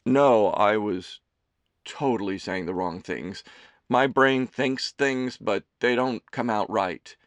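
A man's voice: lowish voice, slow, no echo. A man voicing a shy young man, nervous and stammering. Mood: flustered.

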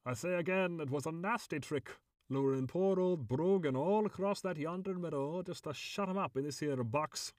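A scrappy little old leprechaun, acted out by a male storyteller, gruff yet pitched well up. He talks in folksy, old-fashioned turns, like a creature out of a storybook. The sound is close and dry. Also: moderate pace, a little mellow, no echo, close to an everyday voice. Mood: indignant scolding.

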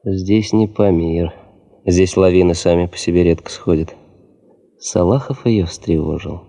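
An actor playing a seasoned, practical, gruffly good-natured geologist in a Soviet radio play, speaking plainly and tersely. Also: male voice, low voice, a little slow, mellow. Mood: calm, knowing.

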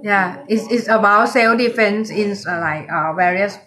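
A woman with a Thai accent hosting a casual livestream chat. She speaks in halting English and keeps repeating "yeah, yeah, yeah.". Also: mid-range voice, crowd noise. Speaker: livestream host.

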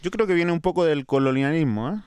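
A man with a Chilean accent, speaking as a panelist in a discussion, halting with many fillers. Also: on the high side, darkish tone, smooth, no echo. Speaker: panelist, music agency director.

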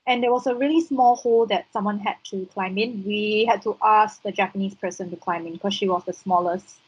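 A woman's voice: medium pitch, fairly even intonation, fairly quick, husky, no echo, lo-fi sound. A woman with a Singaporean accent co-hosting a podcast, mostly chiming in with short reactions and questions in casual chat. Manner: brief reactive interjections and questions. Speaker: podcast co-host.

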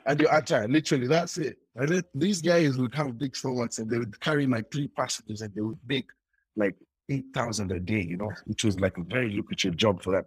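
A man's voice: medium pitch, fairly quick, slightly rough, dry recording, poor audio. A young man talking as an interview guest, telling his story in a rambling way with frequent restarts. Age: young adult. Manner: rambling with many restarts and laughs.

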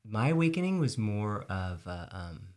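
A man's voice: on the low side, sing-song, unhurried, a little mellow. A man from South Texas, a podcast host, talking reflectively in a casual conversation and searching for his words.

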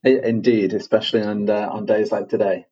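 Husky Mancunian man, low voice. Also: flat delivery, warm tone, highs cut off. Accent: Manchester English. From England. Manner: measured, with frequent fillers and restarts. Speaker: guest, company CEO. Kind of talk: podcast interview.

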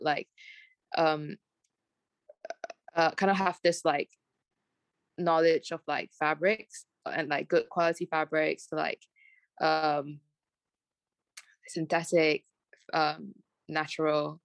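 A woman's voice: sits low, steady tone, unhurried, pauses often, no echo. A young woman from Malaysia with a mixed Malaysian, British and American accent, chatting as an interview guest and rambling with many fillers and restarts. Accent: Malaysian with British and American traces. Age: young adult. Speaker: guest, fashion graduate. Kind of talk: casual podcast interview.

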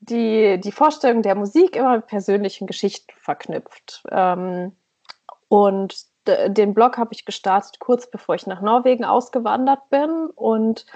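A woman's voice: medium pitch, normal speed, warm tone, dull audio. A woman chatting hesitantly as an interview guest over an internet call, often searching for words. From Germany. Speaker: podcast guest, emigrant blogger. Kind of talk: casual conversational interview.

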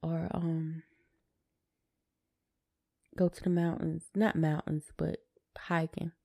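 A woman's voice: low-pitched, level tone, slow pace, vocal fry, dark tone, no echo, clean studio sound. A middle-aged woman, chatting casually and in a laid-back way as a podcast co-host.

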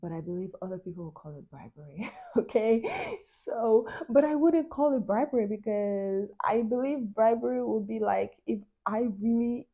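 A young woman from Nigeria telling a travel story in a chatty, animated way, with lots of fillers and little laughs. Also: on the high side, moderate pace, muffled recording. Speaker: travel vlogger. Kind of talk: casual storytelling to camera.

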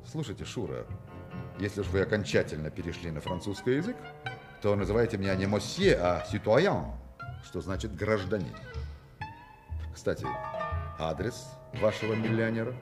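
An actor playing a sly, self-assured swindler in a radio play, speaking with ironic, theatrical grandiloquence. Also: male voice, on the low side, expressive, normal speed, long pauses, over music, close to an everyday voice. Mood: dry mockery.

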